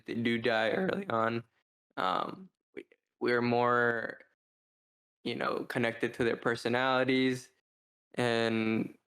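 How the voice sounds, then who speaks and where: A man co-hosting a podcast, talking through a book review in a casual, rambling, halting way as he thinks out loud. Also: mid-range voice. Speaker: podcast co-host.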